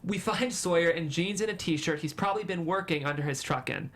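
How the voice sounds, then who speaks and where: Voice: mid-range voice, brisk, a bit bright. A narrator reading screenplay stage directions at a table read in a dramatic storytelling manner.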